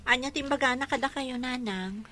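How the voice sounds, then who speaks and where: Woman playing a bright-voiced young Ilocano wife. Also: high voice, moderate pace, soft background music. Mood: queasy. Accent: Ilocano Filipino. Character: young pregnant wife, queasy, dutiful, a little whiny. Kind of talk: radio comedy-drama acting.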